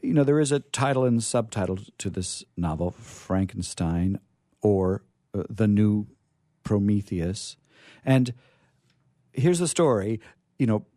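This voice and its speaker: Male host, lowish mellow voice, thoughtful and halting, chatting about literature in a dry recording. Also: no room sound. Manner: hesitant, thoughtful, emphatic repetition. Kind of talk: informal literary discussion.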